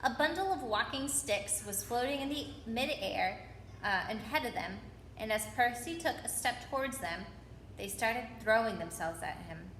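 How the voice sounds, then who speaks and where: Bright, high-voiced woman reading a story aloud. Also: animated, moderate pace. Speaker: story reader. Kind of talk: reading a children's novel aloud. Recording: roomy echo with faint background noise.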